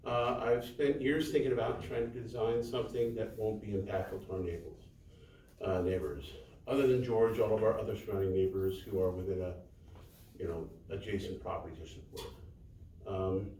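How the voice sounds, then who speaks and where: A man addresses a community meeting as guest speaker, greeting neighbors by name. His voice sits a little low and comes from a distance. The hall around him echoes noticeably. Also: fairly even intonation, fairly quick, dark tone, a little hiss or hum. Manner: friendly, greets people by name. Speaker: guest speaker, winery owner. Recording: echoey hall, far from the mic.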